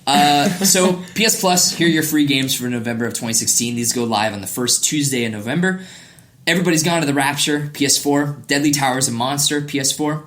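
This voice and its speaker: A man's voice: on the high side, a little fast, fairly bright tone. A man hosting a casual gaming podcast, chatting in an enthusiastic, opinionated way. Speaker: podcast host.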